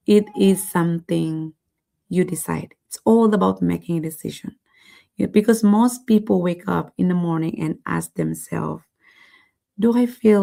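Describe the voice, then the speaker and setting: A woman hosts a motivational live stream in a low, mellow voice with plenty of gravelly fry. She sounds raspy and a little hoarse, and she speaks earnestly, building her points through repeated, emphatic phrases. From lives in San Francisco, California. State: somewhat hoarse voice. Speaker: live-stream host and motivational business mentor.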